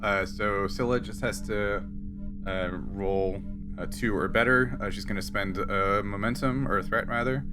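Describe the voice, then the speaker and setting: A man's voice: fairly low pitch, steady tone, a little slow. A man narrating and refereeing a tabletop roleplaying game in an easygoing, conversational way. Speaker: game master. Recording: background music under the voice.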